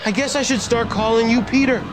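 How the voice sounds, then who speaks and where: A man's voice: very high-pitched, fairly even intonation, a little fast, noisy background. A man playing an earnest, naive nerdy guy in a motion comic, speaking in an eager, guileless way. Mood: touched, grateful. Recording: crowd and music behind.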